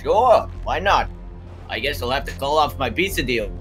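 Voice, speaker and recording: A man's voice: medium pitch, lively intonation, normal speed, a bit bright, soft background music, natural voice. A man playing a polite, agreeable new lumberjack recruit in an improvised online roleplay. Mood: surprised, agreeable.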